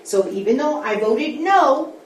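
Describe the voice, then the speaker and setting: A woman's voice: sits high, expressive, measured pace. Woman, board member, candid coaching tone, echoey room, distant mic, faint background noise. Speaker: school board member leading a training. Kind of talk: workshop discussion.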